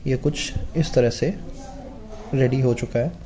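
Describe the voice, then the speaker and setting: Soft-spoken man demonstrating gear. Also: mid-range voice, unhurried, low-quality recording. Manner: explains step by step while handling gear. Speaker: motovlogger reviewing gear. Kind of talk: casual unboxing demo. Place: indoors at a table, off camera.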